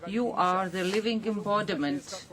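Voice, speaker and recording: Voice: mid-range voice, unhurried, crowd noise. An interpreter voicing a formal speech into English, hesitant and full of fillers and restarts. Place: assembly chamber.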